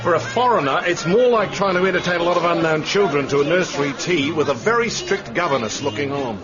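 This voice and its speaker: An actor playing a worldly, amused adviser in a radio drama, explaining things chattily with hesitations and dry humour. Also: male voice, on the high side, lively intonation, fast talker, close to an everyday voice. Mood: wry, explanatory. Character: worldly, amused male adviser briefing a spy. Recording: muffled radio broadcast with music behind.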